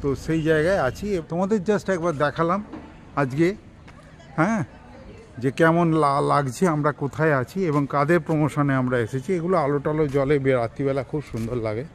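A man's voice: fairly high pitch, moderate pace, a little hiss or hum. An older man casually narrating a walkthrough of a hotel room and grounds while filming on a phone, talking to viewers as friends. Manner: chatty, addresses viewers as friends. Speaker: travel vlogger showing a hotel. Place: hotel room and garden, indoors and outdoors.

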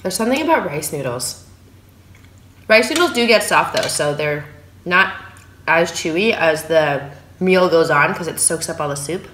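A woman in her thirties talks casually between bites of food at her dining table. Her voice sits a little low and relaxed, picked up by a phone in an echoey room with a faint background hum. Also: normal speed. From San Francisco, USA. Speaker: food vlogger. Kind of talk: casual eating vlog. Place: small room at home, indoors at a dining table. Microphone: phone camera on the table.

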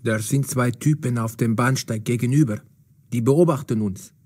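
A man's voice: medium pitch, normal speed, a little mellow, natural voice. A man playing a secretive young street musician in an audio drama, with a guarded, urgent manner. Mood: wary warning.

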